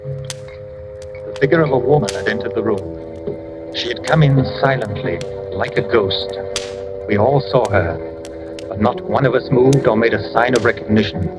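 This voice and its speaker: An actor playing a tense first-person narrator in a dramatic old radio suspense tale. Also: male voice, lowish voice, moderate pace, pauses often, loud music behind the voice, lightly acted, poor audio. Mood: tense, grave.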